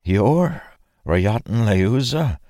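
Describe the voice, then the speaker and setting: An anguished, guilt-ridden young warrior, played by a male narrator, pleads earnestly in a fantasy audiobook. The voice is pitched well up from the narrator's own, with a dry, close sound. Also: animated, moderate pace, darkish tone, no room sound, natural voice. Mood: astonished.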